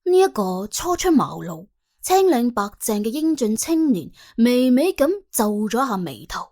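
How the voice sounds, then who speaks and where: A woman narrating an audiobook in colloquial Cantonese, telling the story in a wry, dramatic, expressive way. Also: on the high side, a little slow.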